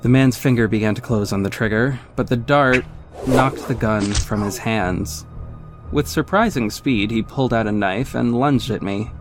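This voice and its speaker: A man's voice: medium pitch, moderate pace, over loud music, natural voice. A man playing a confident young vigilante who narrates his own exploits in a wry, smug way in an audio drama. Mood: focused, descriptive. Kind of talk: scripted first-person audio drama narration.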